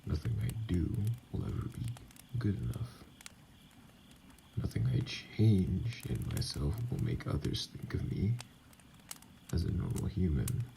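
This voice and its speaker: Lonely self-loathing vampire played by a male actor, very deep and heavily creaky, halting and breathy, for an ASMR audio drama. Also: sing-song, noisy background, exaggerated voice. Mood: lonely, resigned. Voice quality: gravelly, breathy, often dropping to a whisper. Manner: slow, halting, brooding delivery. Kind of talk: ASMR roleplay monologue.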